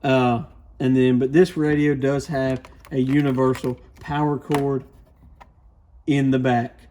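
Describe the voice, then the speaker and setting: Man, mid-pitched voice, informal product reviewer, plainspoken, explanatory, casual demonstration style. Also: little pitch movement, measured pace, warm tone, slight background noise. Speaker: radio shop product reviewer, presenter.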